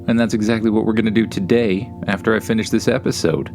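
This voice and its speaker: A man's voice: on the low side, steady tone, fast talker, a little mellow, soft background music. A man with a Canadian accent hosts and narrates a children's bedtime story in an informal, conversational way, with wry, dry playfulness.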